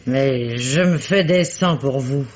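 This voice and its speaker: An actress playing a fussy, chattering housekeeper who worries, in a 1950s radio drama. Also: female voice, rumbling low, slow pace, a little mellow, heavily acted. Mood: fussing. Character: fussing, worried female housekeeper. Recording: muffled old radio recording with faint hiss.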